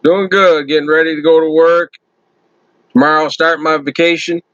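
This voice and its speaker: A man hosting his own channel, chatting loudly and casually to viewers on a first live stream from his computer. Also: a little high, fairly even intonation, moderate pace, no echo, muffled recording. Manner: loud friendly talker. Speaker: YouTube channel host.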